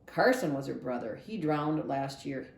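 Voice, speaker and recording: A woman voicing a reserved, melancholy preacher father in a children's novel, serious and measured, gentle but firm. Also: rumbling low, expressive, gravelly, reverberant, pitched below the performer's own voice, exaggerated voice. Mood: grave. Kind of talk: acted children's novel read-aloud.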